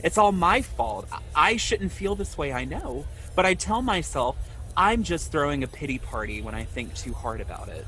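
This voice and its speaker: Male actor as ashamed lonely man in his twenties, slightly high voice, hesitant, confessional, audio drama, noisy background. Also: sing-song, sharp tone. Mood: self-blaming, anguished. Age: 20s. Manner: halting, confessional, repeats himself. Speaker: podcast host acting in own audio drama.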